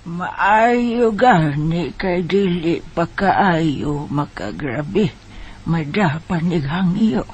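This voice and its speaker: A man's voice: a little high, lively intonation, highs cut off, slight background noise. A man with a Bisaya Filipino accent playing a solemn, admonishing village folk healer in a radio drama. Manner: drawn-out, admonishing.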